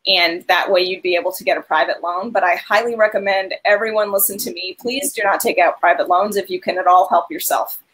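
A woman's voice: lowish voice, steady tone, a little fast, fairly bright tone, rough recording. Earnest woman giving advice on a call. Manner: earnest, direct advising. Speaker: financial aid advisor. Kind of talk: webinar Q&A advice. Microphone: video call.

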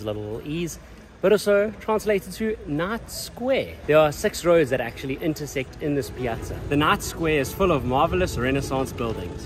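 A young man chatting casually as a travel vlogger, walking and talking on city streets. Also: fairly high pitch. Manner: chatty, informal, playful teasing. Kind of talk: casual travel vlog narration. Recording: street noise behind.

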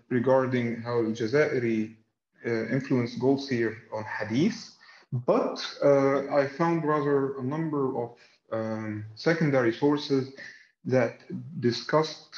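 A man's voice: medium pitch, a little mellow. Roomy, muffled male academic on a call. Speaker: academic researcher, lecture presenter. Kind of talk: scholarly discussion and question answering. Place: indoor room on video call. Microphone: video call, sitting back from camera. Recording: dull, roomy video-call audio.

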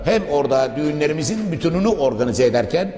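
Male TV interviewer, brisk and formal, slightly high voice, music behind. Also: animated, mellow. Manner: wordy, formal, addresses viewers and guest politely. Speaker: interviewer, TV host. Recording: echoey reverberant room, music behind. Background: background music.

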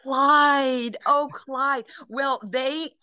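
A middle-aged actress chatting in a rambling, casual way over a video call from home, with many ums and restarts. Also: female voice, high voice, steady tone, drawn-out words, pushed and bright, no echo. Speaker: actress guest. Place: home room, indoors. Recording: dull lo-fi video call audio.

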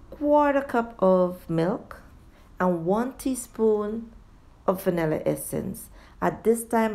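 A woman hosts a home cooking tutorial in voice-over. Her voice is mellow and dark-edged, at a middling pitch for a woman. She walks viewers through the steps one at a time, speaking to them directly. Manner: addresses viewers as guys. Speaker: home cooking show host.

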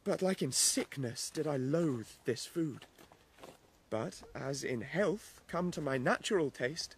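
Earnest, slow-spoken young lover. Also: male voice, a little high, lively intonation, slight background noise. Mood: earnest, ardent. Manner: earnest, measured declaiming. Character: earnest lovestruck young nobleman. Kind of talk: British radio drama, Shakespearean verse.

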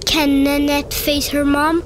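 Woman, children's read-aloud, unhurried, playful, high voice. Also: flat delivery, slight background noise. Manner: slow, playful storybook reading with voiced exclamations. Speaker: narrator reading a picture book aloud. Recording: faint music behind the voice.

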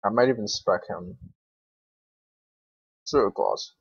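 A young man with a fairly low voice talks casually over his gameplay. He is informal and grumbling, with plenty of swearing. The sound is dry and close, with a dull, lo-fi microphone. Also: expressive, slow, vocal fry, breathy edge, dry recording. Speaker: gaming video creator.

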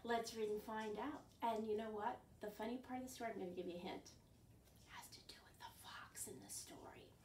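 An older woman hosting storytime for young children, speaking in an animated, clearly enunciated way and asking them questions. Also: fairly high pitch, a little fast, slight background noise. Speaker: children's storytime host at a library. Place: living room at home. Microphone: camera a few feet away. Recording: roomy sound, distant microphone.

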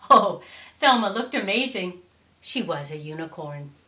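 Woman, storytime reader, unhurried, swooping intonation, theatrical emphasis, roomy sound. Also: lowish voice, expressive, pushed and bright. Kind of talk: expressive children's storybook reading. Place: indoors, curtained room or small stage. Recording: roomy echo on voice.

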